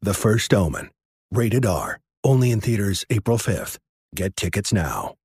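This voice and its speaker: A man's voice: on the low side, big pitch swings, unhurried, darkish tone. A man narrating a scripted, dramatic film promo as an announcer. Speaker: movie trailer announcer.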